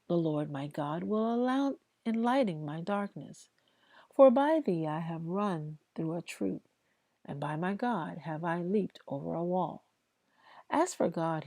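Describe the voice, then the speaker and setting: Female scripture reader, very unhurried and emphatic, lowish pitch, mellow tone. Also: no echo. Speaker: host reading scripture aloud. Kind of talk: slow devotional Bible reading aloud, with informal asides to listeners.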